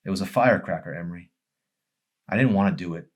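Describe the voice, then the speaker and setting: A man voicing a tormented, withdrawn young man in an audiobook, halting and anguished with guilt. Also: low voice.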